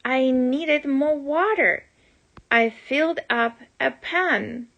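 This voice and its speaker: Mom reading a rhyming kids book out loud, really high voice, super slow n expressive. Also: female voice, dull audio. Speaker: parent reading aloud to children. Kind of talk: children's storybook read-aloud in rhyme.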